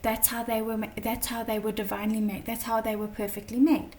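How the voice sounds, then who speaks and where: Chatty woman teaching tarot, slightly croaky. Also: on the high side, level tone, brisk, darkish tone, far from the mic, a little hiss or hum. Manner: chatty, many fillers and "okay?" check-ins. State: slightly croaky from talking all day. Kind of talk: casual teaching lesson.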